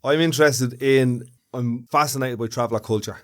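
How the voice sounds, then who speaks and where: A man co-hosts a relaxed podcast conversation, curious and steady as he asks questions and nudges his partner to explain. His voice sits at a middle pitch for a man, in an indoor room. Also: normal speed. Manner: curious, asks questions and prompts the other speaker. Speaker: podcast co-host. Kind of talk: casual chat.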